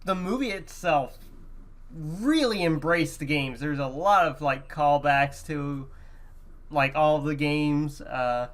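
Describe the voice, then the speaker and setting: A man with a fairly high voice talks as a podcast co-host. His delivery is casual and conversational, with informal, unpolished phrasing. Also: slow. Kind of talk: casual chat about video games and movies.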